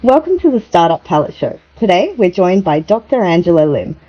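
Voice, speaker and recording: A woman hosting an interview podcast, asking questions in a measured, polished presenter manner. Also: lowish voice, big pitch swings, highs cut off, soft background music. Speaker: podcast host. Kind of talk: scripted show intro and interview questions.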